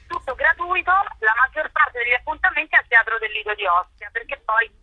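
A woman's voice: high-pitched, lively intonation, fairly quick, no room sound, narrow, tinny audio. A woman giving an interview as a guest, explaining an arts programme in an articulate way. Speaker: interview guest, festival curator and performer.

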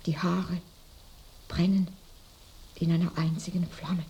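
A woman's voice: on the low side, level tone, slow, dark tone. An actress in a radio play, playing a dying woman who murmurs breathily, feverish and lost in sensual memories. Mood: morbid trance. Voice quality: breathy whisper. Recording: noisy binaural radio drama recording.

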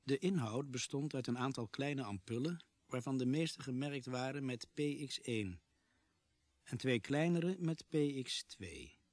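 An actor playing an earnest policeman who tells his story gravely and with measure in a radio drama. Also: mid-range voice, lively intonation, a little slow, darkish tone, no room sound. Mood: grave, tense. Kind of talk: radio drama acting and narration.

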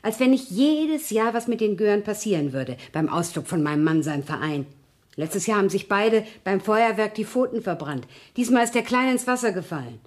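An actress playing a cynical, weary working-class maid in a 1970s radio play, grumbling in an earthy, sardonic way. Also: female voice, medium pitch, animated, a little fast, natural voice.

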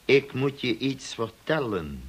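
An actor playing a formal, authoritative police colonel in a radio play, questioning in a measured, stern way. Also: male voice, mid-range voice, big pitch swings, slightly rough, full character voice. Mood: formal, probing. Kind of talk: Dutch radio drama acting.

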